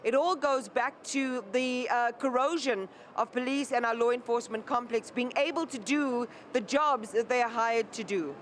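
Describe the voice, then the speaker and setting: A woman anchors a live news interview. Her voice sits a little high, and she speaks formally and articulately, with frequent fillers. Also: normal speed, hardly any pauses, a little hiss or hum.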